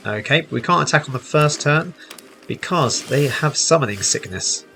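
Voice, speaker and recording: Bright-voiced man with some creak, explaining gameplay. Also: fairly high pitch, animated, moderate pace, faint music behind. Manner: explanatory, step by step. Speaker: host, gaming teacher. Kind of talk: casual tutorial commentary over gameplay.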